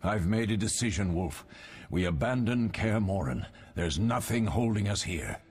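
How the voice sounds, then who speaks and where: An actor playing a weary, fatherly older warrior mentor in a fantasy game, measured and grave. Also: lowish voice, animated, a little mellow, breathy edge. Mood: steady, resolved.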